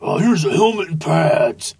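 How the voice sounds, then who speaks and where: A woman voicing a commanding rhino football coach in a storybook, giving terse, drawn-out orders. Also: low-pitched, animated, gravelly, darkish tone, breathy edge, heavily acted.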